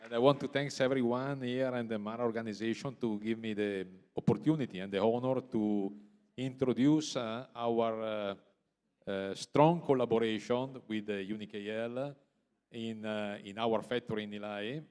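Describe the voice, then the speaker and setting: A man from Italy, an industry representative on a forum panel, speaking haltingly as he searches for words. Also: on the low side, steady tone, a little mellow, clean tone. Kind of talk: panel discussion answer. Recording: long reverb of a large hall.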